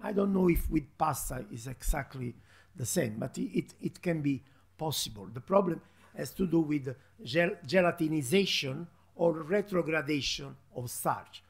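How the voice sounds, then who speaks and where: A man answering questions from a panel table in a big conference hall, his voice gravelly and a bit husky. He stops and restarts often, hunting for words as he explains. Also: on the high side, lively intonation. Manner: halting, many restarts and fillers. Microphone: table microphone.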